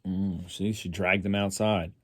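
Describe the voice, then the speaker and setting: A man's voice: sits low, flat delivery, a little slow, dry recording, studio quality. A man reading a picture book aloud expressively, as a storyteller for schoolchildren.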